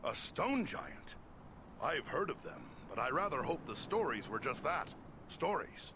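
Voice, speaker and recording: An actor playing an earnest, honourable adventurer in a fantasy game, speaking plainly and sincerely. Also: male voice, on the high side, very animated, measured pace, noisy background. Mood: curious, wary. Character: earnest male fantasy hero, sturdy and honourable. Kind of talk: scripted fantasy game dialogue.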